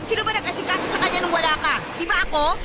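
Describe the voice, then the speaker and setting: A woman's voice: extremely high pitch, rapid-fire, over a noisy crowd, heavy background noise. A woman playing an older man's brash, defensive partner in a radio drama, with a haughty manner. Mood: indignant. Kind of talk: Filipino radio drama acting. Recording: loud music bed.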